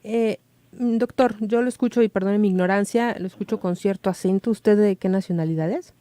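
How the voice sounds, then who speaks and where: A woman's voice: mid-range voice, fairly quick, dark tone, dry recording. A woman hosting a radio news interview, asking conversational questions and thinking aloud.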